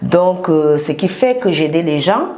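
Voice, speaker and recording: A woman with a Cameroonian accent speaks in a low voice at a slow pace. She is a therapist being interviewed, explaining things unscripted, in halting phrases with frequent pauses. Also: lively intonation, a little mellow. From southern Cameroon. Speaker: massage therapist and business owner, interview guest.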